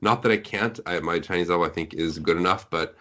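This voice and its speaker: A man's voice: deep voice, fast talker, sharp tone. A man speaking as a podcast guest in casual conversation, explaining things in a talkative way. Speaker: guest on a podcast, web novel translator.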